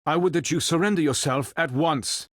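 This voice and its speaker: A man playing a calm, noble android superhero in a video game, speaking in formal, precise, stilted robotic phrases. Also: on the high side, moderate pace, no room sound, clean studio sound. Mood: stern, threatening. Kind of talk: video game character voice lines.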